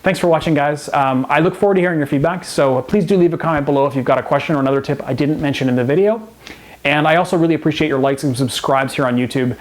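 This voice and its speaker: A man's voice: a little high, fast talker. A man speaking as a relationship coach and host, delivering scripted advice straight to the viewer in an instructive way. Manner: instructive, direct address to the viewer. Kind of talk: scripted advice video to camera.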